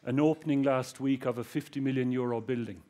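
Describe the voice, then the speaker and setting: A man's voice: mid-range voice, fairly even intonation, a little fast, warm tone. A man with a Cork Irish accent giving a public talk to students, joking and addressing the audience directly. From Ireland. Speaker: guest speaker, research engineer.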